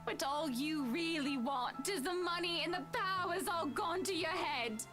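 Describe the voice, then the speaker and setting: A rich hurt wife, super high pitch, indignant, music playing under her. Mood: bitter, wounded. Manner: haughty, indignant, deliberate. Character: proud, wounded, wealthy young wife standing her ground. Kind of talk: dramatic visual novel voice acting. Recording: game music behind the voice. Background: game music.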